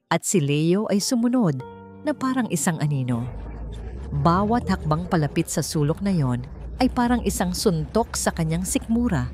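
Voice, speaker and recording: Low-pitched woman narrating a dramatic story. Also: sing-song, moderate pace, a little mellow, faint music behind.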